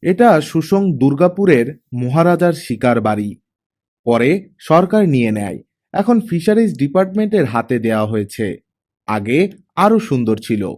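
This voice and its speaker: A man's voice: on the high side, sing-song, unhurried, a little mellow. A man voicing an easygoing, knowledgeable male character in an audiobook, talking informally. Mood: explaining.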